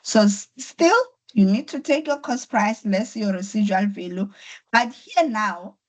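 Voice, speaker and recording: A woman's voice: mid-range voice, expressive, a little slow, rough recording. Woman teaching with a flu-affected voice. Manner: patient, step-by-step explaining with repetition. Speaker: accounting tutor. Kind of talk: online lecture. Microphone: computer mic on a video call.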